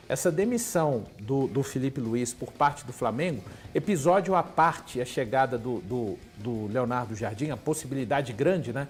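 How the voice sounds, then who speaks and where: A man working as a sports commentator, giving measured analysis with frequent pauses and fillers. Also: on the high side. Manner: measured, hesitant, many fillers. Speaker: sports commentator and radio journalist.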